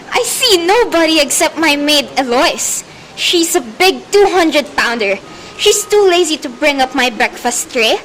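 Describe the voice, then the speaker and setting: A young woman plays an anxious invalid wife in an amateur radio drama. Her voice is high, and she speaks in a fretful, insistent way, as a wealthy lady used to making demands. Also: a bit bright, slight background noise, poor audio. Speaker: student actor in a school radio play.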